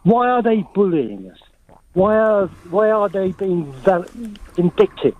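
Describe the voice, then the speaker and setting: A man's voice: high voice, animated, a little slow. A man calling in to a radio show, challenging the host in a combative way. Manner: challenging, argumentative. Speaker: caller. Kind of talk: phone-in argument. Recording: phone line.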